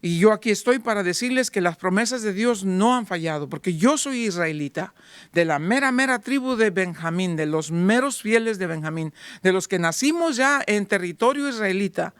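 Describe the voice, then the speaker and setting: Man, pastor, sermon, high voice, dry recording, rhetorical questions. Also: lively intonation, moderate pace, a bit bright, clear, resonant tone, dry recording. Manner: rhetorical questions, voicing doubting listeners.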